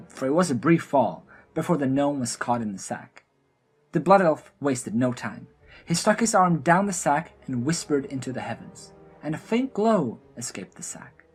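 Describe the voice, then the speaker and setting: A woman's voice: low-pitched, slightly rough. A woman narrating a scripted fantasy audio drama as its storyteller.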